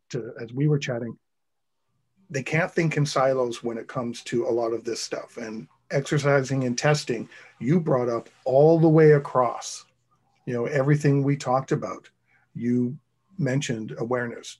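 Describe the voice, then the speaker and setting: Male interview host, husky, easygoing rambling prompts. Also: mid-range voice, a little mellow. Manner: loose, rambling prompts with fillers. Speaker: podcast host. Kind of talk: conversational interview hosting.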